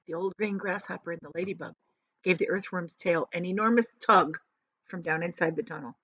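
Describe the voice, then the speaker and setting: A woman's voice: on the low side, a little fast, a bit bright, breathy edge, dry recording. A woman narrating a children's story dramatically, like a teacher reading aloud, in a slightly muffled room recording. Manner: dramatic, explains words to young listeners.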